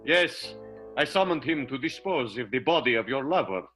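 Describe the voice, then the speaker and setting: A man acting a melodramatic, guilt-ridden gentleman in an improvised 1920s radio soap, with halting, ornate phrasing. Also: medium pitch, animated, faint music behind. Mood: grave confession. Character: melodramatic gentleman confessing to his darling, grandly guilt-ridden.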